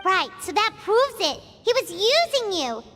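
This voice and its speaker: An actress voicing a tiny, excitable, childlike fairy companion in an anime fantasy game, squeaky and perky. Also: female voice, unhurried, full character voice. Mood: inquisitive. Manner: perky, exclamatory. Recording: long reverb, music underneath.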